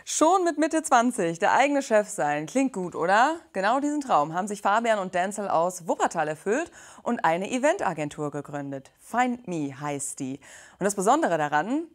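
A woman presenting a news segment in a scripted, upbeat way. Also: medium pitch.

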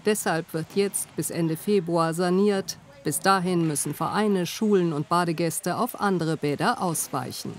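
A woman's voice: on the low side, measured pace, few pauses, slight background noise. A woman reading a scripted news report.

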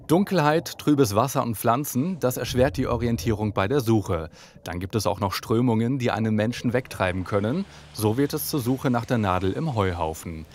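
A man reading a scripted news report voice-over in a calm, informative way. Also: medium pitch, moderate pace, slight background noise.

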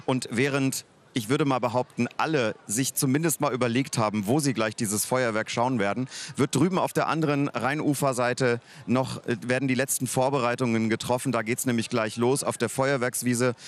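A man with presenting a live regional TV broadcast in a semi-scripted way. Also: mid-range voice. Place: outdoor city festival.

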